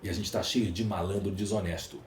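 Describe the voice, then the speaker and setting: A Brazilian man with a fairly low voice talks at length as an interview guest. He is emphatic and rhetorical, stacking lists and questions. The room is echoey, with faint noise behind him. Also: steady tone, fairly quick. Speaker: podcast guest, entrepreneur. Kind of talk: conversational interview monologue.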